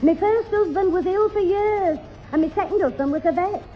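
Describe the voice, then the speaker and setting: A woman's voice: very high voice, normal speed, soft background music. A woman playing a fussing, man-hungry widowed neighbour in a 1960s radio sitcom. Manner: coaxing, baby-talking, gushing. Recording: dull 1960s radio recording.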